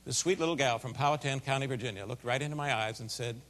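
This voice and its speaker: A man's voice: medium pitch, fast talker. Big-voiced man telling stories in a hall. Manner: folksy, animated storytelling with a big voice. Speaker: keynote speaker. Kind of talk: storytelling keynote speech. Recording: long hall reverb.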